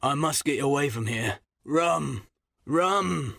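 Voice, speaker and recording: A man voicing a gruff, hot-tempered old sea captain who speaks in short, curt bursts, read as a character in an audiobook. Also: fairly high pitch, expressive, takes it slowly, a bit bright, no room sound, studio quality, pitched above the performer's own voice, heavily acted. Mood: desperate.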